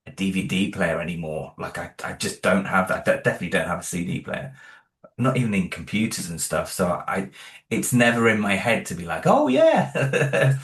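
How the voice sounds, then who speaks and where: A man's voice: low-pitched, sing-song, moderate pace. A British man chatting casually as an interview guest, earnest and a little hesitant as he thinks aloud. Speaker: interview guest, independent audio drama creator. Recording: roomy, echoing room sound.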